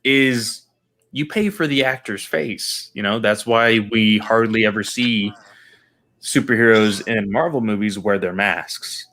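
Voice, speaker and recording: A man's voice: lowish voice, measured pace, a bit bright. A man co-hosting a podcast in casual chat, making his points emphatically and repeating them for stress. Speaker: podcast co-host.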